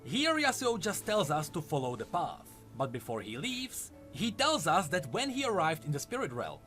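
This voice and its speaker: A male narrator with a fairly high voice and very animated intonation. He reads a scripted lore explainer as a storyteller, with music playing behind him. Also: moderate pace. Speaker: video essay narrator.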